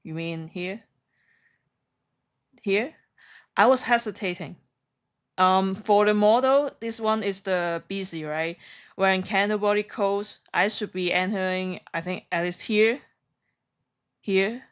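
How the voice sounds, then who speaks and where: A woman speaking as a live stream trading host, chatting casually in a giggly, hesitant, halting way. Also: mid-range voice, smooth. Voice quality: non-native English.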